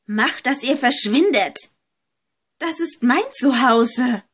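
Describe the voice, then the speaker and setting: A woman's voice: high-pitched, animated, slow pace, breathy edge, full character voice. A woman voicing a menacing, villainous female villa owner in an amateur horror audio story. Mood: angry, scolding.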